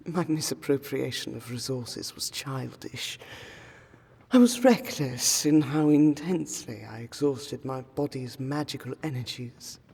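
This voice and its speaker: A woman playing an exhausted, grieving, centuries-old noble lady vampire in a fantasy audio roleplay, speaking formally and haltingly, sometimes dropping to a breathy whisper. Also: a bass voice, dark tone, full character voice. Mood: pained, rueful. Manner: formal archaic diction, halting, sighing, slipping into breathy whispers. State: exhausted and pained. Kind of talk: fantasy roleplay audio drama, intimate monologue. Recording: music behind the voice. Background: music.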